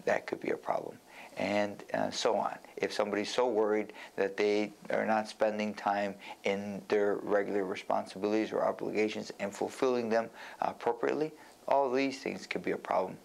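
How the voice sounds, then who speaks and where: Male medical presenter, lowish pitch with plenty of vocal fry, explaining in a measured, halting manner. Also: level tone. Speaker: medical director and doctor presenting. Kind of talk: educational presentation on mental health.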